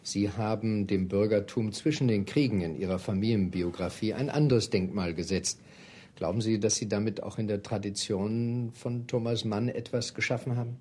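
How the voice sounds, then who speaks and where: A man interviewing in a formal, courteous manner, putting long, prepared questions in measured sentences. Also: on the low side, fairly even intonation, darkish tone, slightly rough.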